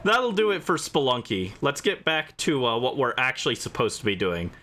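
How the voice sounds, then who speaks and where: A man's voice: mid-range voice, big pitch swings, moderate pace, sharp tone. A man hosting a gaming livestream, chatting casually and playfully as he responds to his viewers. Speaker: livestream host.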